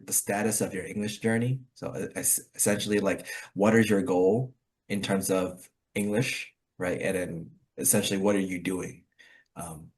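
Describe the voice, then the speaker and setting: Very deep-voiced male call host. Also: a little mellow. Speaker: host of an online English practice meeting. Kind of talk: casual group discussion. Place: online group call.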